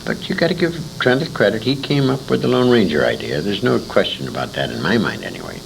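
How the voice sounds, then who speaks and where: A man's voice: fairly low pitch, sing-song, fast, vocal fry. An older American man reminiscing in an interview, assured and emphatic as he sets out the details. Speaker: interviewee, former radio actor. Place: convention interview recording. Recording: noisy, humming amateur tape recording.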